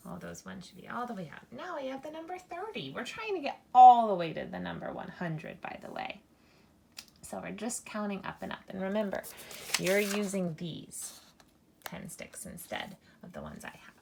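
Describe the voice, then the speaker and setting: A woman in her thirties teaching a children's math lesson, counting aloud slowly and breaking into mock-alarmed squeals. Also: medium pitch, wildly swooping pitch, creaky, a little mellow, slight background noise. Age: 30s. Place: indoors at a table, hands-only video.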